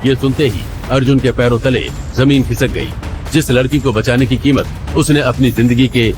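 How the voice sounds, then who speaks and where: A man's voice: mid-range voice, steady tone, moderate pace. A man narrating a dramatic audio story in a scripted storytelling style. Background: background music.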